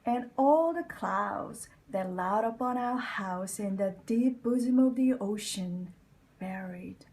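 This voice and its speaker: A woman giving a deliberate, theatrical recitation of a Shakespeare soliloquy as a bitter, scheming nobleman, with phrases falling into breathy whispers. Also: medium pitch, a little mellow. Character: bitter, scheming deformed nobleman plotting villainy. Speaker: amateur Shakespeare reader.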